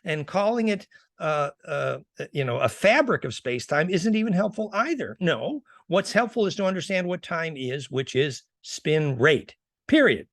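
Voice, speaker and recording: A man giving an informal slide lecture in an assertive, emphatic manner, with halting restarts as he presents his theories. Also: on the high side, expressive, fairly bright tone, dry recording, studio quality. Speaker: presenter of fringe physics theories.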